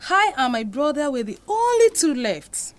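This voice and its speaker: A woman's voice: sits high, sing-song, normal speed, slight background noise. A woman playing an anguished, devout Nigerian mother confessing a painful past in an audio drama. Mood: sorrowful confession.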